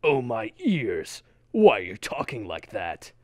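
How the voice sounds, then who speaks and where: A man's voice: fairly low pitch, wildly swooping pitch, takes it slowly, no room sound. Exasperated man, dry close sound.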